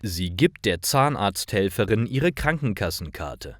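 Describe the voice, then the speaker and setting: A man narrating simple scripted stories for language learners, reading carefully in clearly phrased short sentences. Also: fairly low pitch, sing-song, unhurried, no room sound, clean studio sound.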